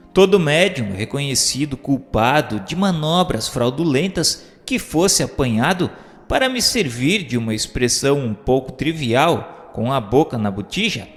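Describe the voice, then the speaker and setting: A man's voice: mid-range voice, slightly rough. A man with a Brazilian accent reading a book aloud as formal, didactic scripted narration, enunciating carefully. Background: music.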